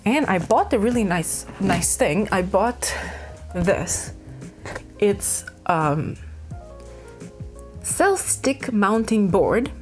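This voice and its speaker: A woman's voice: medium pitch, animated, very slow, long pauses, soft background music. A woman from Ukraine chatting casually to camera about her craft projects, rambling with many fillers and restarts. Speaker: craft vlogger.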